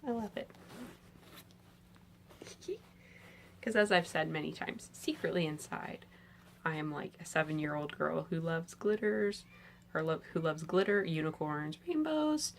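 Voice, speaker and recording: A woman in her thirties with a low, gravelly voice, a bit hoarse from a cold. She chats eagerly about her knitting to a camera in front of her, in a bedroom. Faint hiss sits behind her. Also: lively intonation, unhurried, pauses often. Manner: chatty, enthusiastic, lots of "um"s. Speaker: knitting podcast host. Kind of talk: casual show-and-tell chat. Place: bedroom with pillows, indoors.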